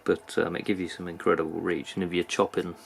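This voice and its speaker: Low, gravelly British man. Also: flat delivery, quick pace, a little hiss or hum. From United Kingdom. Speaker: knife reviewer. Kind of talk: casual product review. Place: indoors at a workbench.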